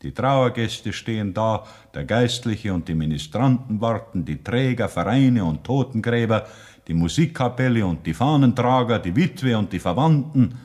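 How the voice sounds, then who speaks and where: An actor playing a mock-solemn ballad narrator, rhetorical and declamatory, in a 1960s radio play. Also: male voice, fairly low pitch, lively intonation, normal speed, darkish tone, lightly acted. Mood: mock indignation.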